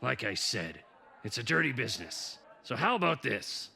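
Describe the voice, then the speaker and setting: A man playing a cynical, grandiose veteran newspaperman in a comic dub, gravelly and declamatory. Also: mid-range voice, big pitch swings, moderate pace, bright, forceful tone, full character voice. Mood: cynical, philosophical. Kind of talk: dramatic comic dub acting.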